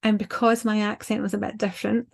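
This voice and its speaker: A woman's voice: medium pitch, level tone, quick pace, vocal fry. An older woman with a Scottish accent, casually giving advice over a video call from a small home office, chatty and full of restarts. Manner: chatty, talks with her hands, many ums and restarts. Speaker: branding designer and show host.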